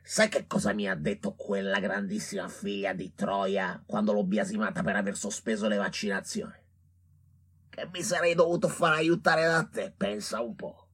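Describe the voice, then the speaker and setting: Pompous, exasperated small-town doctor. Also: male voice, fairly low pitch, steady tone, moderate pace, fairly bright tone, slightly rough. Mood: exasperated, grumbling. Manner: theatrical, grumbling, oratorical. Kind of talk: Italian radio play acting.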